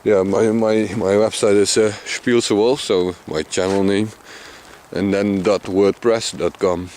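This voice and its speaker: A man from Limburg with a Dutch accent and a deep voice, chatting casually to his camera outdoors while out on a bicycle trip, sometimes mumbling a little. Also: fairly even intonation, a little slow, a little mellow, a little hiss or hum. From Limburg, Netherlands. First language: Dutch Limburg dialect. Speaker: vlogger. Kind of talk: casual outdoor vlog narration. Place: outdoors in flat open countryside.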